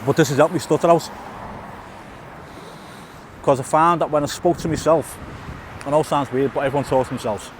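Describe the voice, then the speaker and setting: A man's voice: on the high side, steady tone, fairly quick, pauses often. Casting note: man, lisp, stutters and restarts, vlogging outdoors over faint traffic. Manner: stutters, repeats and restarts words, fillers. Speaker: YouTuber. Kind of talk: casual walk-and-talk vlog. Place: outdoors in a town, by a tunnel.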